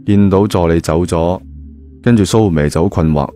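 Low, mellow male Cantonese storyteller. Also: normal speed. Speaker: audio drama narrator, also voicing the male lead. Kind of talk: lively colloquial storytelling narration. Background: background music.

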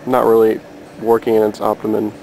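A man with a fairly low voice full of gravelly fry talks casually into a handheld camera outdoors. He rambles a little, hesitating and thinking aloud. A faint noise sits behind his voice. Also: completely flat delivery, a little fast, dark tone. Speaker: amateur radio hobbyist filming his gear. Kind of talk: casual unscripted demonstration. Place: outdoors in a yard. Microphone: handheld camera microphone. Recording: noisy handheld camera with background hum.

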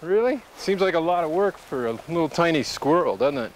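A man's voice: fairly high pitch, lively intonation, fairly quick, a little hiss or hum. A man hosting an outdoor show, chatting casually and asking curious, folksy questions while hiking. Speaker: show host. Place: outdoors in open coastal tundra, on the move.

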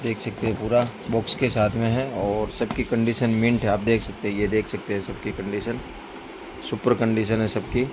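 A man with a fairly low voice narrates a product showcase in a very flat tone. His patter is plain and repetitive, like a casual seller's. It is a phone recording made indoors, with clear background noise. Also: moderate pace.

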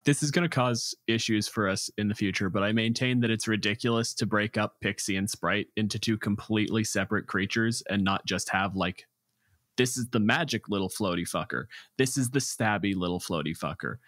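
A man's voice: lowish voice, a little fast, fairly bright tone, no echo, clean studio sound. A man hosting a podcast, with scripted introductions and casual gaming chat. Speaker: podcast host.

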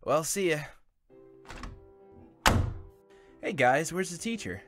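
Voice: medium pitch, animated, fairly quick, over music, natural voice. A voice actor playing a goofy, mischievous schoolboy who jokes around in an amateur audio drama. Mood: cheeky. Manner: joking, playful, laughs between phrases.

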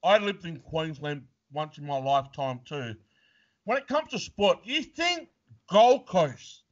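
An Australian man hosts a casual chat, his questions relaxed and full of restarts. His voice sits slightly high. The call line sounds dull. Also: measured pace. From New South Wales, Australia. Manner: relaxed, friendly, stumbling questioner. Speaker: podcast host. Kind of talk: casual video call interview. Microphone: video call.

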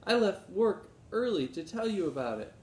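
A man's voice: big pitch swings, moderate pace, a little mellow. Man reading as a playful grandfather, roomy recording. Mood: cheerful. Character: kindly, playful grandfather with good news. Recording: roomy echo, low rumbling noise.

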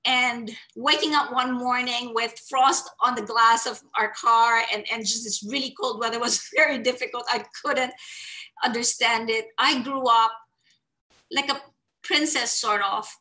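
A woman's voice: on the high side, a little slow, poor audio. A woman in her forties from the Philippines, speaking earnestly about her life at an online summit, recorded on a webcam in a small room. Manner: earnest, expressive, gestures with her hands. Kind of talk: personal story talk. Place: small indoor room against a plain wall.

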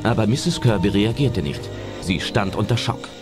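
A man's voice: fairly low pitch, lively intonation, normal speed, music in the background. A man narrating a scripted German audio drama as its storyteller.

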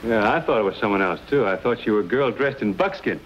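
Actor as a confident frontier hero, noisy old soundtrack. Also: male voice, fairly low pitch, expressive, fast, highs cut off, close to an everyday voice. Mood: annoyed. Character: confident, helpful frontier adventurer in buckskins. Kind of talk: 1950s television western acting.

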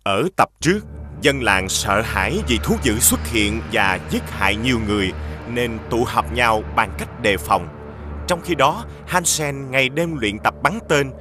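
A man giving scripted narration for an animated fairy tale. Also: mid-range voice, measured pace, music in the background.

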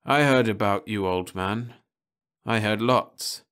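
A man's voice: fairly low pitch, takes it slowly, fairly bright tone, clean tone. A man reading aloud, voicing a blunt, weary male rocket captain who speaks curtly and to the point. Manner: curt and blunt.

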